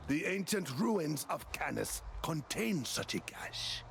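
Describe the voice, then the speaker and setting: A man playing a solemn keeper of ancient lore in a fantasy video game, speaking in a grave, measured, portentous way. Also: a little high, very animated, vocal fry, a bit bright, slight background noise, exaggerated voice. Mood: grave, foreboding. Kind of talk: video game dialogue acting.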